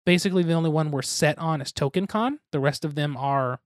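A man's voice: a little high, a little fast, no echo, clean studio sound. A young man co-hosting a board game podcast, talking casually in a rambling, self-deprecating, jokey way. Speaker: podcast co-host.

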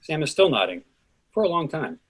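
Husky man reading stage directions. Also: a little high, very animated, brisk. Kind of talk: scripted narration of play directions.

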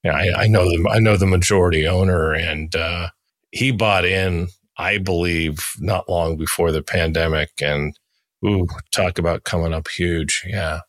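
A man in his sixties with a deep voice, chatting casually as a podcast host. He talks in a rambling, anecdotal way. The sound is dry and close, free of room echo. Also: steady tone, unhurried. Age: 60s. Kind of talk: casual interview chat.

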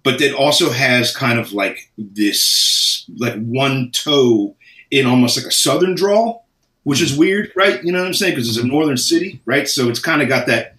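A relaxed man with a slight Philadelphia accent tells stories in loose, slangy talk. He sits a little away from the microphone, and the room gives his voice a roomy echo. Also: medium pitch, normal speed, recorded from a distance. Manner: laid-back, laughs often, slangy. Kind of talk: casual chat.

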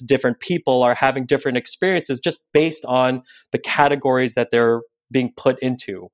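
Male guest, Korean native speaker, reflective storyteller, mid-pitched. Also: no room sound. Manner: thoughtful, personal storytelling. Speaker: podcast guest. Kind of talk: reflective conversational explanation.

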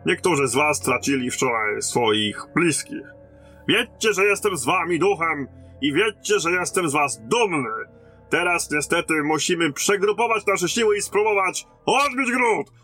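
An actor playing a battle-hardened, blunt and commanding lieutenant in a fantasy audio drama. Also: male voice, on the high side, expressive, unhurried, bright, forceful tone, faint music behind, heavily acted. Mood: commanding, rousing.

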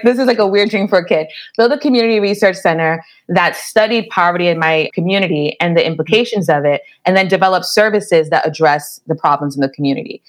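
Laughing New woman, low voice. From the Bronx, New York. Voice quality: laughs often, animated storytelling. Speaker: guest, professor. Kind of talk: conversational interview.

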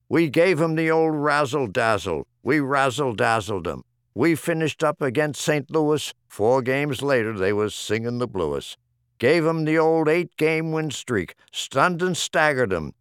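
A man's voice: mid-range voice, a bit bright, smooth, no room sound, clean studio sound. A man reading humorous rhyming poems as an audiobook narrator, with a theatrical, rhythmic delivery. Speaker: audiobook reader.

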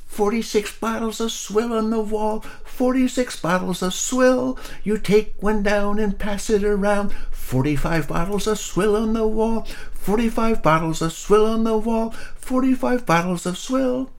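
A tiny male pig with a squeaky little voice, pitched far above an ordinary man's. He stays calm and stubborn and sings in a steady sing-song. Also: takes it slowly, exaggerated voice. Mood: singsong. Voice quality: squeaky, high.

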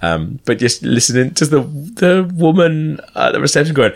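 Laughing man with a lively voice. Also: fairly high pitch, normal speed, creaky. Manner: chatty, laughs often, swears casually. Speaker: podcast co-host. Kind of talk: casual chat reviewing a TV episode.

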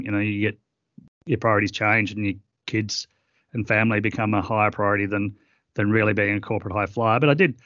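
A middle-aged man guesting on an interview, talking earnestly and haltingly with many fillers. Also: on the low side, a bit bright, dry recording, muffled recording. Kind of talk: reflective interview conversation.

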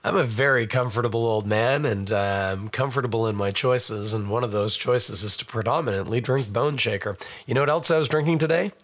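A middle-aged Canadian man, hoarse and raspy from a cold, hosting a casual podcast and rambling in a self-mocking way to viewers. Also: mid-range voice, a little fast, hardly any pauses. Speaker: podcast host. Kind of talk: casual live-stream chat.

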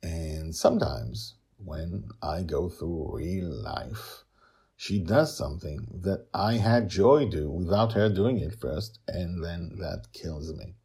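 Middle aged guy hosting a story podcast, really low voice, swings around a lot. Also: slow, breathy edge. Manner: animated, dramatic emphasis, chuckles while talking. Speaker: podcast host, author and storyteller. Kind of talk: personal commentary and scripted storytelling.

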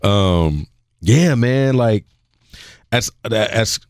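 A man's voice: fairly low pitch, lively intonation, extremely slow, darkish tone, clean tone, no room sound, studio quality. A man hosting a podcast, telling stories in a laid-back, joking way. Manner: laid-back, jokey storytelling. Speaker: podcast host. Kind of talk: casual conversation.

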